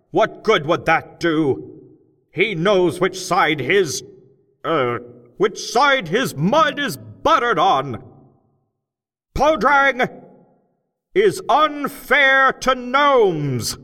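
A man voicing a man turned gnome, rousing a crowd of miners with loud, slow, impassioned speech. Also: very high-pitched, huge pitch swings, big-room sound, voice pushed up, exaggerated voice.

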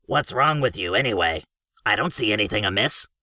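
A man's voice: fairly low pitch, lively intonation, a little fast, pushed and bright, no room sound, exaggerated voice. A man voicing a blunt, cheerfully rude male gnome in an audiobook. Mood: gruff gleeful. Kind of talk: audiobook character voice.

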